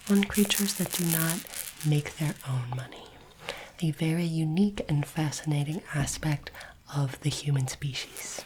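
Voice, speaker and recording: A woman doing a soft-spoken, whispered ASMR roleplay as a polite, formal alien interviewer, with deliberate phrasing. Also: deep voice, background noise.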